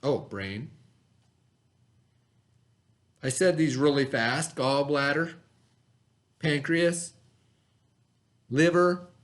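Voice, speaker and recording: A man's voice: a little high, slow. A man teaching a read-aloud lesson for children, speaking instructively, repeating key words and prompting with questions.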